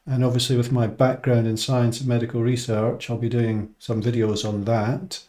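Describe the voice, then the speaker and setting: A man's voice: medium pitch, little pitch movement, moderate pace, warm tone. A Scottish man who teaches English and works in science, giving an informal explanatory talk to viewers. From Scotland.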